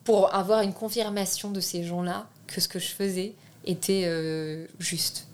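A woman's voice: medium pitch, fairly even intonation, measured pace, darkish tone, slight background noise. A young woman from France, a filmmaker being interviewed, talking casually with many hesitations and restarts. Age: young adult. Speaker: filmmaker guest.

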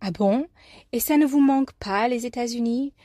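A woman from the United States with an American accent, giving a scripted, expressive personal talk as a life coach and columnist. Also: on the high side, moderate pace, no room sound, clean studio sound. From United States, New York. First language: English.

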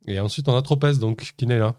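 A man acting as game master, improvising narration for a tabletop roleplaying game in a hesitant, halting way with repeated words. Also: medium pitch, a little mellow, dry recording, studio quality. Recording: music playing under the voice.

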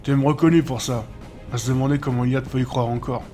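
A man's voice: medium pitch, steady tone, fast, warm tone, faint music behind, close to an everyday voice. A man playing a cynical older chain-smoking gangster in an audio drama, blunt and grumbling in casual slang. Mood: irritated, needling. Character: gruff, cynical older male chain-smoking gang driver.

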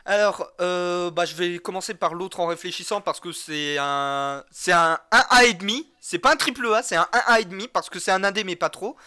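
Male, high sharp voice, geeky enthusiast co-hosting a casual gaming podcast. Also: normal speed, few pauses, clean tone. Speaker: co-host of a video game podcast.

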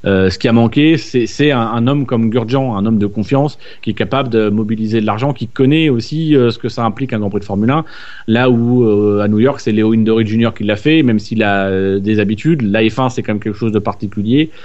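A man's voice: on the low side, brisk, darkish tone, dull audio. A man hosting a casual motorsport chat, often repeating words as he searches for the next one. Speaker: podcast host.

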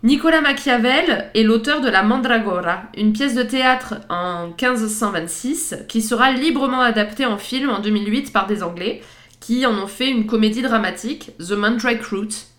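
A woman with a fairly high voice co-hosts a relaxed podcast. She talks her way through a list of references, stopping often on fillers and laughing easily. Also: moderate pace. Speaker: podcast co-host. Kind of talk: casual chat presenting pop-culture references.

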